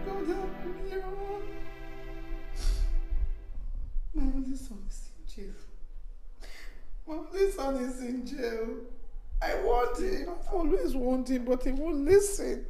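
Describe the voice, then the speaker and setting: A really high pitched nigerian mum, distraught n accusing, keeps repeating herself, echoey movie scene. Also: female voice, animated, unhurried, dark tone, soft background music. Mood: anguished, tearful. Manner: wailing, repeats herself insistently. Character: distraught, accusing mother of a jailed adult son. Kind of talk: emotional film drama acting. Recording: echoey room.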